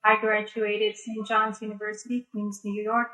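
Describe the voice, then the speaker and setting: Bright-voiced woman, raised in America. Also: mid-range voice, level tone, soft background music, rough recording. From Egypt, raised in the US. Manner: measured, with frequent fillers. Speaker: guest speaker. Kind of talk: online interview answers.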